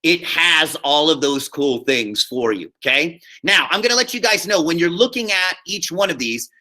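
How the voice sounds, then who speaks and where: A man's voice: fairly high pitch, animated, moderate pace, bright, forceful tone. An American man giving a chatty, step-by-step lesson over a video call as a theater teacher, often checking in with his students. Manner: chatty, checks in often with okay and all right. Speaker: high school theater teacher. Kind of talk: casual instructional screen-share lesson. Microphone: computer mic on a video call.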